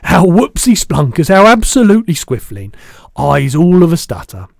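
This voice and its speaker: A man voicing a big, soft-hearted, proud giant in a children's storybook, booming and spluttering with mangled rustic grammar. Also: very animated, moderate pace, no echo, clean studio sound. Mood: overjoyed. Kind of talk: animated children's storybook reading.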